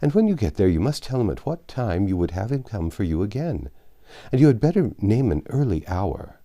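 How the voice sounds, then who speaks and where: A man reading a storybook, voicing a gentle, fretful elderly father who fusses anxiously and plaintively. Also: on the low side, very animated, fairly quick, lo-fi sound, voice pushed up. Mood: fussing. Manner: fussy, plaintive. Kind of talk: audiobook character voice.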